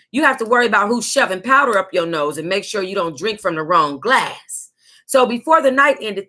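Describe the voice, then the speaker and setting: American lady hosting a book club, reads it out real dramatic and sassy, sharp bright voice kinda high. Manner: sassy, exclamatory, drawn-out emphasis. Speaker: host of an online book club reading. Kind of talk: dramatic read-aloud of a memoir with sassy asides.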